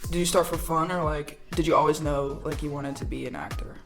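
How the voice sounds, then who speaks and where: Slangy woman co-host, low voice. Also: fairly even intonation, fairly quick, soft background music. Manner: slangy, jokey, swears casually. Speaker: co-host interviewer. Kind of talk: casual interview chat.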